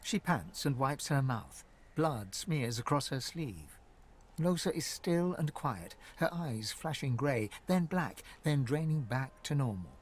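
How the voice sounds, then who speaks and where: A man narrating a fantasy video game as a dramatic, expressive storyteller. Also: mid-range voice, slow pace, slightly rough. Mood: dramatic, measured.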